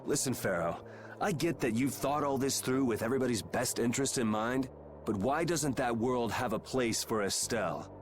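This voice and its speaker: A man's voice: medium pitch, normal speed, lightly acted. A man playing a defiant, sardonic young hero in a video game, speaking casually and bluntly with a cocky edge. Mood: challenging. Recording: clear background noise under game dialogue.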